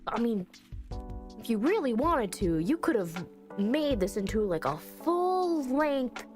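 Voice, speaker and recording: A woman's voice: on the high side, big pitch swings, measured pace, a little mellow, soft background music. A woman streaming a game playthrough with effusive, theatrical, exclaiming commentary. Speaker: streamer playing a visual novel.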